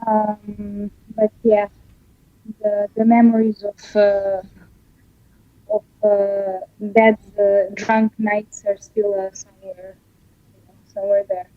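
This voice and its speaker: A woman in her thirties with a Serbian accent, a podcast guest telling her story haltingly with many fillers. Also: mid-range voice, little pitch movement, narrow, tinny audio. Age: 30s. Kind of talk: podcast interview, personal story.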